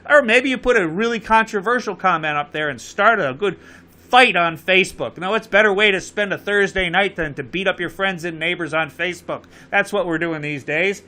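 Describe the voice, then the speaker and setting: A man's voice: high voice, fairly quick, sharp tone. A man with a Connecticut accent hosting a live call-in show, urging viewers to phone in and repeating the number. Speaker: show host.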